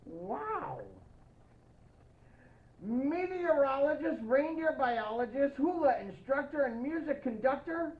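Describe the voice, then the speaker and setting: High, slow, expressive woman reading a storybook. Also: narrow, tinny audio. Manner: playful, expressive, addressing young listeners. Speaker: storybook reader in the persona of Santa. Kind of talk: children's picture-book read-aloud with playful asides.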